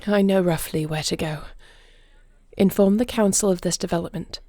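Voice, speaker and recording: A woman's voice: fairly low pitch, moderate pace, warm tone, no echo, clean studio sound, close to an everyday voice. A woman playing a regal, anguished but resolute queen with formal, commanding diction in a fantasy audio roleplay. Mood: commanding, vengeful.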